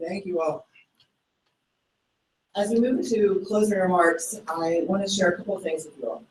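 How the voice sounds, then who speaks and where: A man with a high voice gives an upbeat closing address from far off in an echoing hall. He urges the audience on and keeps checking in with them, and faint room sound runs underneath. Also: normal speed, heavy background noise. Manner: exhortative, with repeated tag questions. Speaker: speaker giving closing remarks. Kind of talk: motivational speech. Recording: roomy hall, far from the mic.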